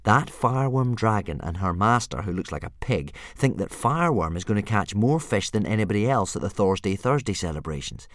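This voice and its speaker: A man's voice: fairly low pitch, lively intonation, a little fast, hardly any pauses, dry recording, studio quality, lightly acted. A man voicing a frustrated boy trying to train his stubborn dragon. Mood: reasoning. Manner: pleading, exasperated.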